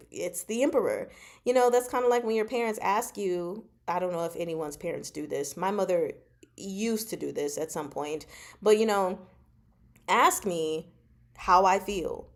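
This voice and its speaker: A woman with a mid-pitched voice hosts a casual book discussion. She retells scenes with slangy, emphatic delivery, slipping into characters' dialogue in her own voice. She often punctuates her points with short check-in questions. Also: lively intonation, normal speed. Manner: punctuates points with "okay?" and "right?". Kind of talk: casual animated recap and commentary on a novel.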